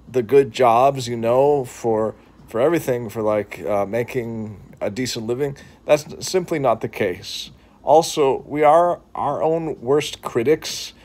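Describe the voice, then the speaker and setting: A man's voice: mid-range voice, darkish tone. A man in his thirties with a New England accent, talking earnestly to his phone camera from a couch at home, with frequent restarts and fillers. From New England, Boston. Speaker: vlogger. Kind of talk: reflective talk to camera. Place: living room, seated on couch indoors. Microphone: phone camera at arm's length.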